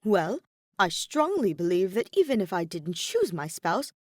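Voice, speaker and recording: A woman's voice: medium pitch, sing-song, measured pace, a little mellow, no room sound, studio quality. A woman voicing a proud, earnest young nobleman student with an indignant, formal, emphatic manner in an audiobook. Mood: earnest, lofty. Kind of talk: audiobook character acting.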